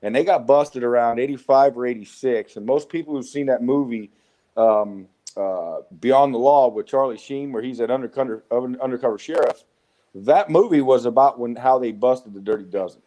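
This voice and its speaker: A man in his forties with a Southwest American accent, telling rough, profane stories of his youth as an interview guest. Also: mid-range voice, moderate pace, dark tone. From United States. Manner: rough-spoken, swearing, frequent restarts. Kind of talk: casual storytelling interview.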